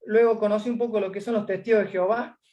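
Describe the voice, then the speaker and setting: A man's voice: sits high, steady tone, quick pace, a little mellow, muffled recording. A man from Córdoba with an Argentine accent, telling his story as a guest in a casual interview, hesitating with many fillers. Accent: Argentine Spanish.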